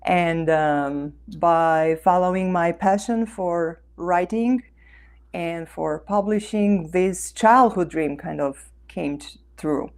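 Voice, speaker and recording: A woman from Romania with a Romanian accent, speaking earnestly and warmly as an author guest in an interview. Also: deep voice. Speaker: author and life coach guest. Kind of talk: interview conversation.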